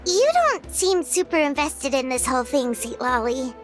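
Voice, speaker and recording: A woman's voice: sits high, very animated, moderate pace, faint music behind. A woman voicing a tiny childlike fairy companion in a video game, blunt and full of questions. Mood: curious, puzzled. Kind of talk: video game character voice acting.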